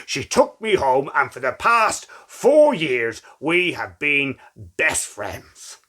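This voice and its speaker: A man voicing a loyal, excitable former stray dog in a hesitant, earnest storybook reading. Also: a little high, slow, full character voice.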